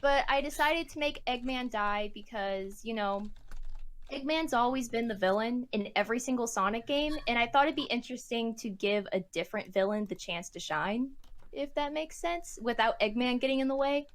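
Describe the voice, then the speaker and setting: A woman's voice: a little high, normal speed. A woman hosting a casual group Q&A in a giggly, encouraging manner. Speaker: host, comic creator.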